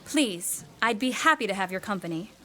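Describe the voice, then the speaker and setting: A woman playing a composed young heiress in a period audio drama, firm, measured and politely threatening. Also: medium pitch, lively intonation, pushed and bright. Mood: quietly threatening.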